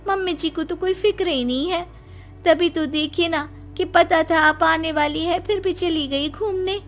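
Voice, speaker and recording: A woman voicing a scheming young daughter-in-law in a cartoon story, shrill and whining. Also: a little slow, fairly bright tone, faint music behind. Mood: sweetly sly. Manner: shrill, whining, fake sobbing.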